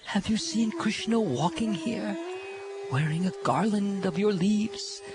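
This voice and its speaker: Casting: distraught lovelorn cowherd women played by a man, high, shift+, imploring, devotional storybook over music. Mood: desperate pleading. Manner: imploring, exclamatory, drawn-out. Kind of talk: dramatic scripture storytelling. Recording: music under the voice.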